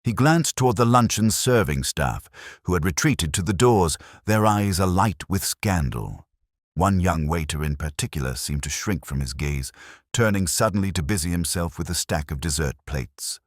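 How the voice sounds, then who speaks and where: Really deep guy reading a mystery story, measured and formal, lively intonation, dry close sound. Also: dry recording. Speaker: narrator. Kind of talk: scripted mystery audiobook narration.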